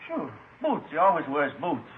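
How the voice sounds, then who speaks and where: A man's voice: a little high, huge pitch swings, moderate pace. An actor playing a folksy, evasive shoeshine man in an old radio crime drama, speaking hesitant, repetitive broken English. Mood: chatty, fond. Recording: scratchy, phone-like vintage radio recording.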